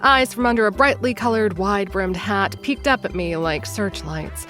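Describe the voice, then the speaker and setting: A woman's voice: mid-range voice, moderate pace, fairly bright tone, soft background music, natural voice. A woman playing a sarcastic, flustered schemer who narrates a comedic audio drama. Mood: flustered, coaxing. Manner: wry, improvising, awkward.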